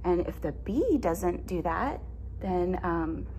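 A woman in her thirties reading a picture book aloud as a teacher, deliberate and expressive, explaining simply. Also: lowish voice, a little hiss or hum. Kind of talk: children's read-aloud with simple questions. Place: classroom, indoors.

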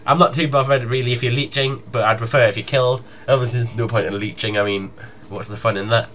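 A man's voice: mid-range voice, fairly even intonation, fast, a bit bright. Young man, muffled noisy recording. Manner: informal, rambling. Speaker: gaming YouTuber. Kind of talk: casual announcement to viewers. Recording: muffled lo-fi home recording with noise.

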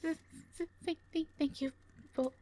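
A woman's voice: sits high, fairly even intonation, moderate pace, dark tone, natural voice. A woman playing a shy, anxious young owl creature with a heavy stutter, in an audio roleplay drama. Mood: timid, guilty.

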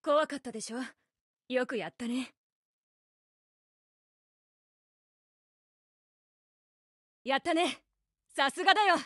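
A cool, princely teen girl hero from an anime game, gallant and gentle. The voice is bright and fairly high with a lively lilt. It is recorded dry. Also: a little fast, dry recording. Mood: praising.

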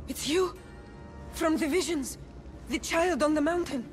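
An actress playing a solemn, fearful ancient oracle priestess, portentous and ceremonial, in a video game cutscene. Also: female voice, sits high, measured pace, soft background music. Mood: shocked.

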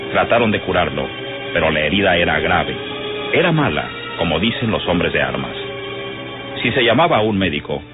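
A man narrating an old radio drama from a script, in storytelling style. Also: sits low. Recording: tinny old radio recording with music.

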